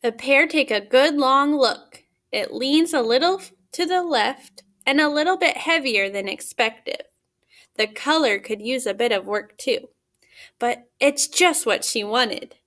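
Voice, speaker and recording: A woman reading a picture book aloud as a teacher, pausing to put simple questions to young children. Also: high-pitched, lively intonation, a bit bright. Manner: simple questions with pauses for answers.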